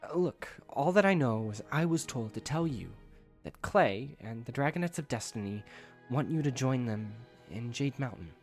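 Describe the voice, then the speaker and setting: A man's voice: medium pitch, animated, normal speed, a little mellow, faint music behind, close to an everyday voice. A man playing a cheeky young dragon messenger in an audio drama, reedy and offhand, flippant and a bit impatient. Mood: nervous, offhand.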